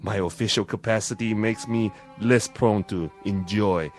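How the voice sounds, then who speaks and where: A man playing a formal, skeptical Japanese police chief with a Japanese accent and measured, condescending speech, in vintage television drama style. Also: on the low side, steady tone, lightly acted. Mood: urbane, dismissive. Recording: old TV soundtrack with music.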